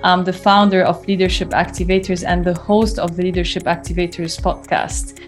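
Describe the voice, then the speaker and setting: A woman's voice: fairly low pitch, level tone, moderate pace, faint music behind. A woman hosting a podcast, introducing her guest and asking questions in an effusive, enthusiastic way. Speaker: podcast host, leadership coach.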